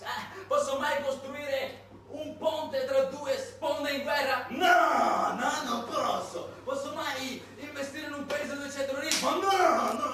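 A man playing a brash, greedy American oil businessman in a theatrical monologue, loud and emphatic, asking and answering his own questions. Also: very high voice, voice pushed up, full character voice. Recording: echoey noisy hall, distant microphone.

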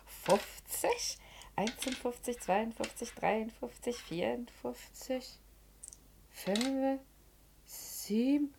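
A woman's voice: on the high side, vocal fry, noisy background, full character voice. An actress in a German radio play voicing a brash, cunning working-class washerwoman and mother with a Berlin accent, earthy and bossy but able to wheedle. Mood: sly, distracted. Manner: earthy, scolding, switching to chummy coaxing.

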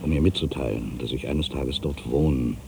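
A man playing an ageing, solitary writer who broods on his past in a deliberate, reflective literary monologue. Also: a bass voice, vocal fry, dark tone, a little hiss or hum. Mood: wistful. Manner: brooding, deliberate, with pensive pauses. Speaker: monologue performer. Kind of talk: literary dramatic monologue, reflective first-person narration.

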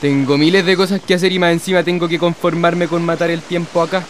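A man's voice: on the high side, little pitch movement, quick pace, heavy background noise, close to an everyday voice. A young man playing a sulky, bored teenage son with a Chilean accent, grumbling in slang. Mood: self-pitying, fed up.

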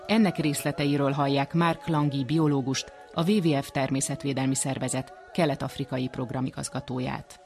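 A man's voice: medium pitch, fairly even intonation, creaky, hardly any pauses, faint music behind. A man presenting a radio science documentary, reading scripted narration.

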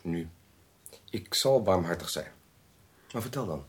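An actor in a Dutch radio drama playing a kind, patient young gentleman with a gentle, indulgent manner. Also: deep voice, expressive, unhurried, husky, exaggerated voice.

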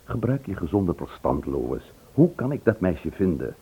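An actor playing a sensible, reluctant friend in a Dutch radio play, down-to-earth in manner. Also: male voice, low voice, big pitch swings, normal speed, warm tone, exaggerated voice. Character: sensible, reluctant male friend, about to go on holiday. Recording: husky, dull old radio recording.

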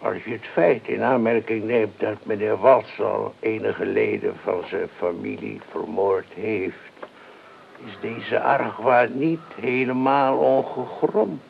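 Slow, creaky, deadpan bodyguard. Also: male voice, on the low side, exaggerated voice. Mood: nonchalant. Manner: deadpan, politely blunt. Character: unhurried, matter-of-fact freelance bodyguard, eccentric and nosy. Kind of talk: radio play acting. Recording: tinny, noisy old radio recording.

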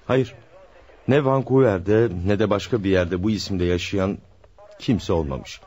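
Low-voiced dutiful police subordinate, dull recording. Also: male voice, a little slow, warm tone. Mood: earnest, reporting. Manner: respectful, orderly reporting. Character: dutiful male police subordinate reporting on his inquiry. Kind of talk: radio theatre acting. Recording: dull, lo-fi radio drama recording.

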